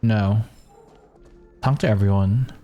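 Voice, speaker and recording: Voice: medium pitch, fairly even intonation, takes it slowly, over music. A streamer giving casual reaction commentary on a game, murmuring offhandedly and reading dialogue aloud.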